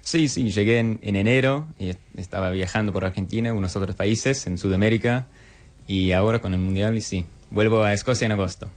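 A man's voice: lowish voice, a little fast. A young Scottish man in his twenties, a native English speaker, answering casually in learner's Spanish, hesitant and friendly, repeating words as he searches for them. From Scotland. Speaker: guest.

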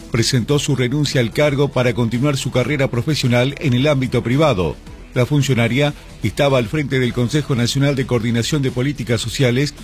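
Argentine guy reading radio news, mid pitch, bit of music underneath. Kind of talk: scripted news narration. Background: music bed.

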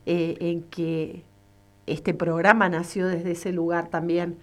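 Slow-spoken woman radio host. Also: fairly low pitch, little pitch movement. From Catamarca, Argentina. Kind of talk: radio interview.

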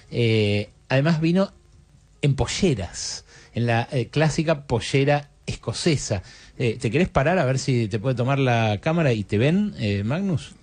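A man's voice: medium pitch, lively intonation, normal speed, darkish tone. A man hosting a casual streamed interview with an Argentine accent, chatty and jokey, with many fillers. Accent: Argentine (Rioplatense). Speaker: host.

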